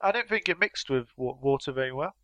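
A man with a blocked, runny nose, co-hosting a casual racing podcast, playful and quick to laugh. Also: mid-range voice, animated, a bit bright, dry recording, muffled recording. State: congested nose. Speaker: podcast co-host. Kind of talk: casual chat about motor racing.

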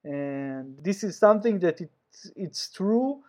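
A man's voice: high-pitched, lively intonation, slow, a little mellow. A man with an Israeli accent, a sports journalist answering interview questions passionately, with frequent restarts and fillers.

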